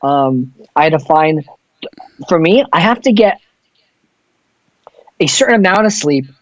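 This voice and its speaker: Swearing touring singer, casual chat. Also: male voice, a little high, lively intonation, measured pace, no echo, muffled recording. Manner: loose, profane, rambling.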